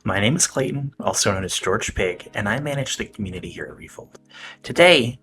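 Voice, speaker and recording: A young man hosting a podcast, relaxed and friendly as he interviews a guest. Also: fairly low pitch. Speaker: podcast host. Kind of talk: casual interview.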